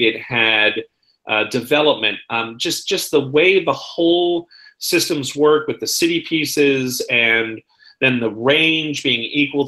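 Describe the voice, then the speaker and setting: A man's voice: medium pitch, sharp tone. A man chatting casually and enthusiastically about board games, speaking with emphasis as a guest on a talk show. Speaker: podcast guest presenting a top ten list. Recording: roomy echo on the voice.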